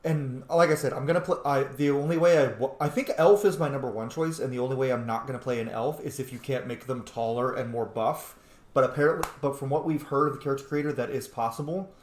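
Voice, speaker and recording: A man's voice: medium pitch, quick pace. A man doing casual gameplay commentary as a streamer, chatty and playful, often correcting himself. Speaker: video game streamer. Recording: echoey room.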